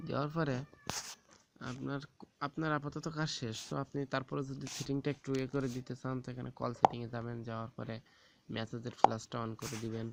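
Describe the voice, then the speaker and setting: A man gives an informal, halting step-by-step phone app tutorial, often repeating himself. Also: mid-range voice, flat delivery, creaky. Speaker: tech tips tutorial host. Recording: very noisy, rooster crowing and clicks behind.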